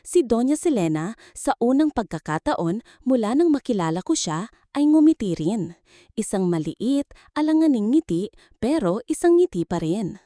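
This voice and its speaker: A woman's voice: on the high side, big pitch swings, normal speed, mellow, dry recording, studio quality. A woman narrating a scripted dramatic story in the first person, with an earnest, sentimental delivery.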